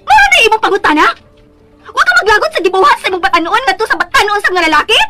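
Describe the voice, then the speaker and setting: A woman's voice: very high-pitched, big pitch swings, rapid-fire, loud music behind the voice. An actress playing a scheming, furious jealous woman in a melodramatic radio drama, with venomous delivery. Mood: furious, confrontational.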